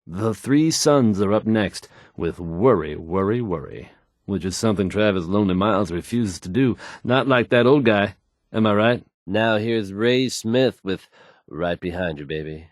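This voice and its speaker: An actor playing a confident, smooth-talking radio DJ in a post-apocalyptic video game, announcing songs with folksy wry asides. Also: male voice, fairly low pitch, animated, unhurried, no echo, studio quality. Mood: cocky, suave.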